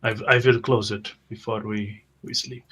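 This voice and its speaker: A man in a tabletop roleplaying game, narrating his character's actions in halting phrases. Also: fairly low pitch, flat delivery, fairly bright tone, husky.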